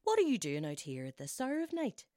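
A woman's voice: mid-range voice, wildly swooping pitch, brisk, mellow, no echo, studio quality. Woman doing a stroppy defiant young heroine. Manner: blunt, sarcastic.

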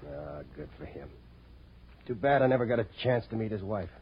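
An actor playing a wronged, bitter husband who has killed, in 1940s radio drama style. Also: male voice, on the low side, expressive, a little fast, breathy edge. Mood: subdued, resigned. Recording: muffled, faintly noisy old radio recording.

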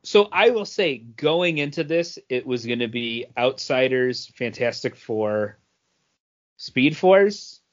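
A man's voice: mid-range voice, lively intonation, takes it slowly, dull audio. A man co-hosting a comic book podcast in casual, opinionated chat, blunt and given to swearing and acting out quotes.

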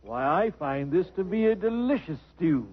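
An actor playing a genteel, gently teasing old man who lodges with a family, full of dry quips and courtly phrasing. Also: high voice, very animated, normal speed, heavy background noise. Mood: wry. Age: older. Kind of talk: 1950s radio drama acting. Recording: muffled old radio broadcast.

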